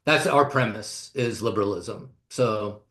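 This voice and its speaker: A man from the United States, a podcast guest, explaining ideas in a thoughtful, unhurried way with frequent pauses. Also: fairly low pitch, a bit bright. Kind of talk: casual conversational discussion. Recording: echoey room.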